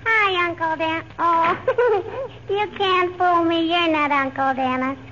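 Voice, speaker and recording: A woman's voice: very high voice, fairly even intonation, slow. An actress playing a cheeky little neighbour girl who prattles in childish slang, in 1940s radio comedy style. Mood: playful. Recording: scratchy, muffled 1940s radio broadcast.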